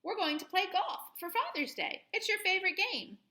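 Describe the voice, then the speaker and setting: A woman's voice: very high voice, animated, moderate pace, sharp tone, voice pushed up, exaggerated voice. A woman voicing an eager, playful young boy frog in a children's storybook. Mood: excited. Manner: exclaiming, boastful and playful. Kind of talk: children's storybook read-aloud.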